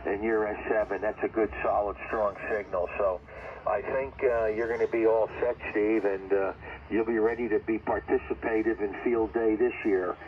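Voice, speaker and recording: A man's voice: lowish voice, flat delivery, moderate pace. A man chatting casually as an amateur radio operator over shortwave, rambling a little and circling back to repeat his points. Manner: rambling, conversational, repeats himself. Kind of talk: casual ham radio chat. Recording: muffled, noisy ham radio transmission.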